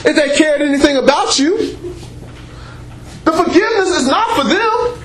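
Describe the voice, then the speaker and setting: A preacher with a strikingly high voice teaches a congregation without a microphone, loud and emphatic. He punctuates his points with call-and-response exclamations of praise. His unamplified voice rings around an echoey church room, with faint background hiss. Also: animated, normal speed. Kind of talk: sermon-style teaching to a congregation. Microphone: unamplified voice picked up by a room mic.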